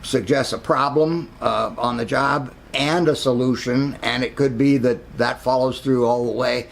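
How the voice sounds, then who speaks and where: An older man, a longtime marketing professor, giving a casual video lecture in a gravelly voice, pausing often with fillers and restarts. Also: mid-range voice, steady tone. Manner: halting, many fillers and restarts.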